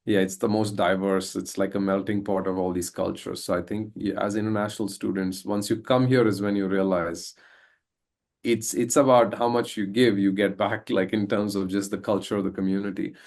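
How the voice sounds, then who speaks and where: Low-voiced man hosting a casual chat. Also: little pitch movement, normal speed, a little mellow. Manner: hesitant, filler-heavy, affirming. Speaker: podcast host.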